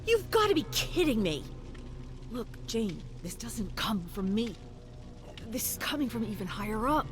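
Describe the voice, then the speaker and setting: A woman's voice: fairly high pitch, sing-song, moderate pace, voice pushed up. A woman voicing an anxious male TV executive who pleads and persuades, in a dramatic game story reading. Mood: nervous, insistent. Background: music.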